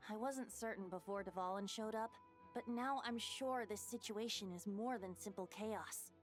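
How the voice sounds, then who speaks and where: A woman's voice: mid-range voice, moderate pace, faint music behind, close to an everyday voice. A woman playing a youthful, breezy yet thoughtful boy bard in a video game. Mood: grave, thoughtful.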